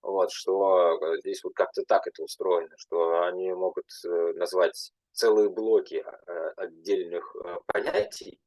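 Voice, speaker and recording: A young man, a language teacher, speaking at a panel in a halting way with many filler sounds and restarts. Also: sits low, steady tone, darkish tone, dry recording, poor audio. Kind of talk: panel talk.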